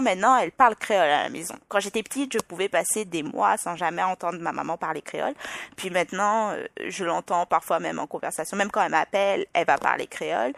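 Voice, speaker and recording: Gravelly low-voiced Parisian French woman. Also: fairly bright tone. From Île-de-France, France. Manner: thoughtful, with frequent restarts and hesitations. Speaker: podcast guest. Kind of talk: reflective personal interview.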